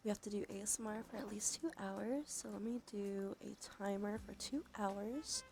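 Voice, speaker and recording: A woman hosting a casual ASMR livestream, chatting softly and narrating what she does, with a breathy voice that often slips into a whisper. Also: medium pitch, normal speed. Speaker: livestream host. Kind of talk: casual ASMR stream chat. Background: background music.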